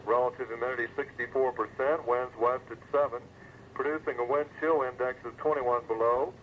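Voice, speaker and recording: A man's voice: medium pitch, fairly even intonation, brisk. Man on tinny 1980s weather radio. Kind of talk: scripted weather bulletin reading. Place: broadcast over weather radio. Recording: muffled phone-like 1980s weather radio, faint noise.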